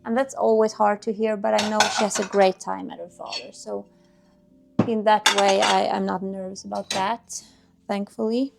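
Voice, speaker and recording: Chatty Swedish-accented woman, gravelly edge. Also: mid-range voice, little pitch movement, moderate pace, noisy background. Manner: chatty, searching for words, restarts sentences. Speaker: cleaning vlogger. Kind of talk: casual chatty talk to camera while cleaning. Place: home kitchen. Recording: music playing underneath. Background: dishes clinking, quiet music.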